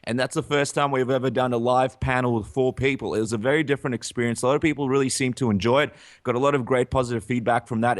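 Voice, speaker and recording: A man's voice: medium pitch, no echo, clean studio sound. A man from Ukraine co-hosting a combat sports podcast in casual chat, laughing often as he talks. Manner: chatty, laughs often, promotes channels. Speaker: podcast co-host.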